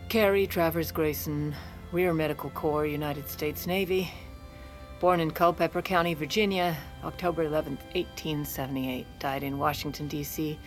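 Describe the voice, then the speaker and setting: A woman's voice: sits low, darkish tone, close to an everyday voice. An actress playing a proud, sardonic aging former First Lady in an audio drama, wry and haughty with formal wording. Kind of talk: audio fiction drama, first-person memoir narration and dialogue.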